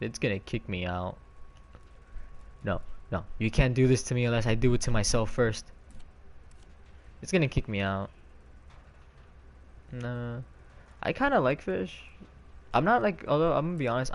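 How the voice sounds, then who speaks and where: A man's voice: mid-range voice, animated, normal speed, long pauses. A man streaming from home on a headset mic, rambling in casual chat with many fillers and restarts.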